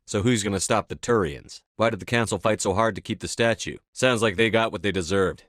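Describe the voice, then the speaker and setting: A man's voice: fairly low pitch, fairly quick, a bit bright, no echo, clean studio sound, lightly acted. A man playing a commanding, no-nonsense military officer in a video game, speaking in a terse, direct, authoritative way. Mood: curious, businesslike. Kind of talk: scripted game dialogue.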